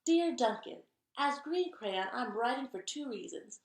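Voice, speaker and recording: A woman's voice: on the high side, lively intonation, measured pace, roomy sound, voice pushed up. A woman voicing a happy, peacemaking storybook crayon in a polite, earnest manner. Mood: polite appeal.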